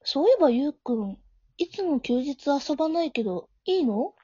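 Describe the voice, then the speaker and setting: A woman playing a gentle, naive young woman, a doting childhood friend, in an amateur romantic audio drama, with a soft, sweet manner. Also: sits high, expressive, normal speed, no echo. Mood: gentle, casual. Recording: dull, lo-fi home recording.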